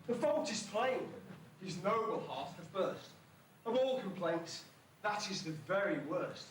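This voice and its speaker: On a noisy, distant stage recording, a man plays a mournful pantomime servant. The high voice declaims old-fashioned rhyming lines with mock-tragic weight. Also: lively intonation, measured pace. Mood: mock mourning. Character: mournful loyal servant of a dying beast, archaic speech. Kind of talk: amateur pantomime rhyming monologue. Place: school hall stage, far from the microphone. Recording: echoey school hall, distant, noisy old tape.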